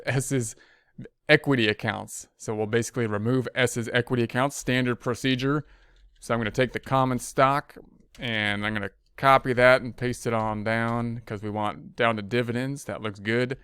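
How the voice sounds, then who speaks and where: Man teaching accounting, smooth dry voice. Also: mid-range voice, fairly bright tone, no echo. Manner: thinking aloud, with frequent restarts and fillers. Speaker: accounting instructor. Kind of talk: screen-recorded spreadsheet lesson.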